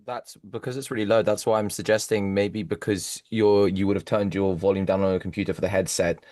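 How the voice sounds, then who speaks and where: Deep-voiced man, dry sound, informal practical advice on an online call. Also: level tone, fairly quick, dark tone, no echo. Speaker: call participant.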